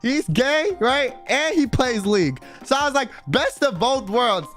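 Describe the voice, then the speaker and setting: A man's voice: very high voice, expressive, unhurried, fairly bright tone. A young man streaming a casual late-night chat, rambling in slang and reading donations aloud. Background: faint background music.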